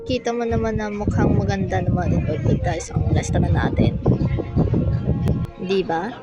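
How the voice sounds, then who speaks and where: A woman voicing a sly, scheming adult man in an amateur fan dub, talking in a smooth, coaxing, conspiratorial way. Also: mid-range voice, normal speed, lo-fi sound, close to an everyday voice. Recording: music playing underneath.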